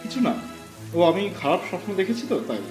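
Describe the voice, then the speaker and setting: Worried, protective young woman, read by a man, urgent and high-pitched, with faint music behind. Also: animated, brisk, a little mellow. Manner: urgent, anxious.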